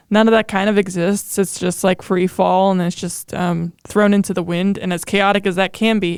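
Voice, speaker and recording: A woman in her late twenties hosts a casual interview with a smooth, clear voice edged with noticeable creak. She speaks informally and reflectively, and the recording is dry and close. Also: mid-range voice, darkish tone, no echo. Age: late 20s. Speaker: podcast host, interviewer.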